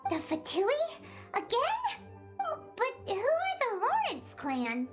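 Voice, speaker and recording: A woman voicing a tiny, childlike fairy companion in a video game, squeaky, excitable, naive and cheerful. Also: takes it slowly, music in the background, exaggerated voice. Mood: surprised, puzzled. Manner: exclamatory, refers to self in third person.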